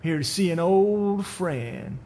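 Man doing an eerie, slow-talking pale stranger. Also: high voice, expressive, slight background noise, pitched above the performer's own voice. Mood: cold. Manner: slow, smooth, quietly menacing.

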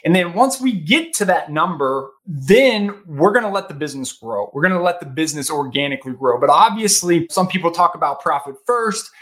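Earnest man giving money advice, higher voice. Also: lively intonation, brisk, few pauses, a bit bright. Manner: earnest, advisory, emphatic with repeated "right?". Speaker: podcast guest, financial advisor. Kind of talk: casual podcast interview.

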